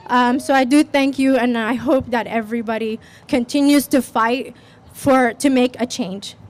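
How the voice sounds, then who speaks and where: A woman giving an unscripted personal speech at a rally, halting and searching for words. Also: a little high, fairly even intonation, slight background noise. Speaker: rally speaker.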